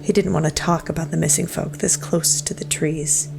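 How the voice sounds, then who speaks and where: A woman with a low voice full of fry narrates a dark horror tale. Her delivery is solemn and measured, with soft music underneath. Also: fairly even intonation. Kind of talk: scripted narration of a dark folk-horror story.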